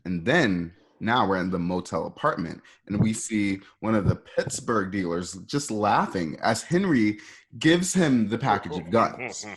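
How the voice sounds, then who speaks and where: A man's voice: on the low side, lively intonation, measured pace. A man narrating scene directions for an amateur script read, informal and conversational. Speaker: narrator reading stage directions.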